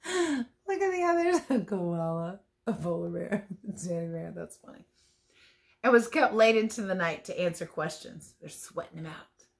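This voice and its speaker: A woman reading a picture book aloud as a teacher would, expressive and playful, with chatty asides about the pictures. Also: on the low side, unhurried, vocal fry. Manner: playful, chatty, commenting on the pictures as she goes. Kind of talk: storybook read-aloud with casual asides.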